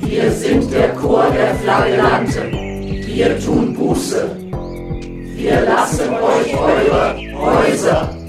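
A woman's voice: low-pitched, slow, long pauses, music in the background, a crowd in the background. A woman performing in a solemn chorus of penitents, chanting in song for a radio play.

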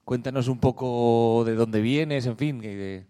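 A man moderating a public event puts questions to the speaker. His voice is mellow and warm, at a middle pitch. He halts often and repeats himself. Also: clean tone. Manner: halting, repeats and restarts his words.

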